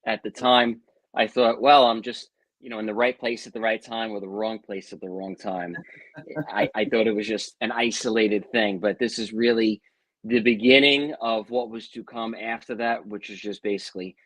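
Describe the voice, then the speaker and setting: A man's voice: lowish voice, fairly even intonation, moderate pace, fairly bright tone, dry recording, rough recording. A man from Long Island with a New York accent, a guest telling a long story in a chatty interview, full of asides.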